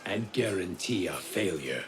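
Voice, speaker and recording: Beneath loud music, a sardonic video game hero in a mid-pitched voice. His delivery is wry and clipped, with plenty of pitch movement. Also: expressive, a little slow. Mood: wry, serious. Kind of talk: dramatic video game acting.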